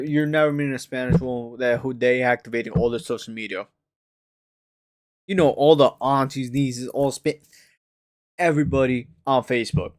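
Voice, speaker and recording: A man streaming a casual reaction to a crime show, slangy and animated, his voice jumping up when he is outraged. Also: dry recording, clean studio sound. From United States. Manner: slangy and animated, breaks into outraged high rises.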